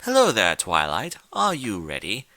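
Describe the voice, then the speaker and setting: A man's voice: on the low side, huge pitch swings, moderate pace, sharp tone, no room sound, heavily acted. Man playing a glib, theatrical chaos creature. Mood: breezy. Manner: theatrical, coaxing, exasperated. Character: impatient, glib male goat-headed chaos creature. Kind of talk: fan fiction storybook reading.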